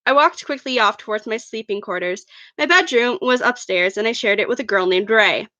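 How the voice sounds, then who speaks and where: A woman's voice: on the high side, expressive, fairly quick, bright, forceful tone, dry recording, lo-fi sound. A woman reading her own fan fiction aloud as first-person narration, an amateur who stumbles over words now and then.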